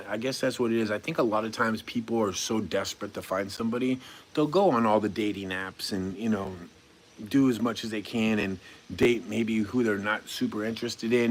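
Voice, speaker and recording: A man's voice: on the low side, fairly bright tone, a little hiss or hum. Laid-back rambling man in his forties. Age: 40s. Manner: laid-back and rambling, reads comments aloud then answers; goofy, chuckles now and then. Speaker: live streamer reading viewer chat. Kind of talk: casual live-stream chat.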